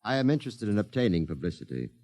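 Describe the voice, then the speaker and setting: An actor playing a calm, formal Martian posing as a businessman, speaking precisely in a 1950s radio drama. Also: lowish voice, no room sound. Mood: coolly courteous. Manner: precise, formal, unhurried diction.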